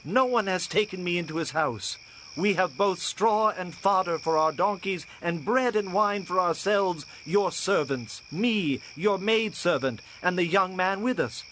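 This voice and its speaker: An earnest Levite traveler in a biblical audio drama. His voice sits high for a man, with faint insect noise behind it. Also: unhurried, fairly bright tone. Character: weary traveling Levite husband, earnest and explanatory. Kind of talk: dramatized scripture audio acting.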